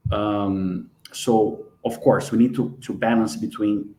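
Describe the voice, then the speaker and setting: A man's voice: lowish voice, fairly even intonation, takes it slowly, darkish tone, rough recording. A man in his forties from Brazil, speaking careful English with a Brazilian accent as a guest on a live webinar interview. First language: Portuguese. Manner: earnest, careful non-native English.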